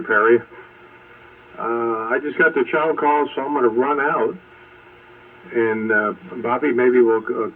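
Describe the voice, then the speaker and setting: A man chatting casually as an amateur radio operator over an AM shortwave transmission. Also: lowish voice. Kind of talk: casual sign-off chat over ham radio. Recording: distant phone-like noisy AM radio.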